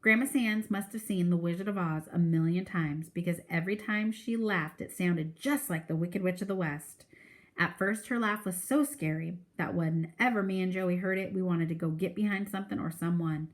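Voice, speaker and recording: A woman's voice: lowish voice, normal speed. A woman reading a children's novel aloud as a teacher would for a class, expressively and with character voices.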